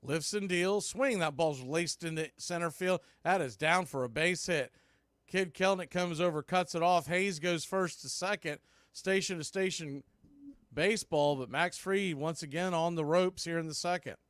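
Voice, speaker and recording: Male play-by-play announcer, fairly high voice, relaxed with wry asides, close dry mic. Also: normal speed, no echo. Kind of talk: baseball play-by-play commentary.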